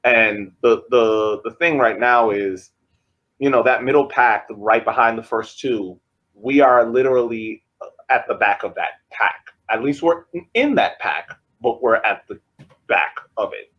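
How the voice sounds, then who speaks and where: A man's voice: medium pitch, measured pace, lo-fi sound. A man co-hosting a casual sports podcast, talking through an argument with hesitant restarts. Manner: hesitant, restarts often while building an argument. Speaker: podcast co-host.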